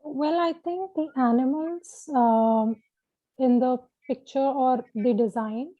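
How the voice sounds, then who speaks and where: A woman taking part in a workshop, answering a question in a halting, casual, reflective way. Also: high voice, dry recording. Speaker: workshop participant. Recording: tinny, dry phone-like line.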